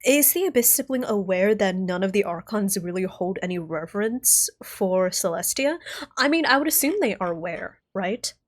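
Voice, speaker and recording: A young woman streamer reacting live to a game, thinking aloud, excitable, swearing and laughing. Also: mid-range voice, moderate pace.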